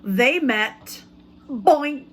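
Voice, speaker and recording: A woman teacher giving an expressive read-aloud lesson for young children, pausing to ask them questions. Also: high-pitched. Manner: expressive storybook reading. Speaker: elementary school teacher.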